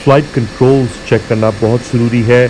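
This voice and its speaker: A man's voice: mid-range voice, a little fast, poor audio. A man with a Pakistani accent narrating a flight simulator tutorial in a casual instructional way. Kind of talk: casual instructional narration mixing explanation and radio phraseology. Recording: steady clear background hum and noise.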